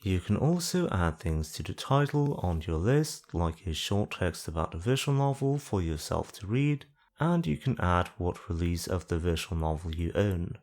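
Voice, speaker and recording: A man's voice: sits low, big pitch swings, normal speed, hardly any pauses, darkish tone. A man narrating an explanatory tutorial in a plain-spoken, dry, self-deprecating way. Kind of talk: explanatory screen-guide narration with casual self-deprecating asides.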